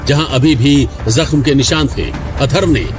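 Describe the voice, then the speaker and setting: A man's voice: mid-range voice, unhurried, music in the background. A man narrating a scripted audio drama in a dramatic storytelling style.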